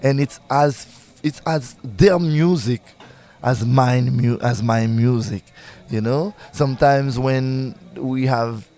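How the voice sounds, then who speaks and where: A male musician with a Haitian accent talks in a casual interview. His voice sits at a medium pitch. He speaks haltingly, restarting as he searches for the right words. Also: mellow. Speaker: musician, singer and bandleader being interviewed.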